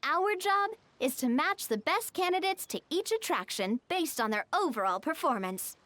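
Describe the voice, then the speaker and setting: Game role: cheerful, refined young lady manager, high animated voice, articulate delivery, music underneath. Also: normal speed, dry recording. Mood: businesslike, upbeat. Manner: polished, articulate, upbeat explaining. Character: poised, cheerful young noblewoman running a theme park. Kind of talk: video game story dialogue acting. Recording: studio voice over game music.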